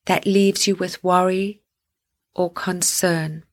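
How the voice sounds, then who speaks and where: A woman hosting a guided sleep meditation, speaking gently and soothingly in deliberate phrases with long pauses. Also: fairly low pitch, flat delivery.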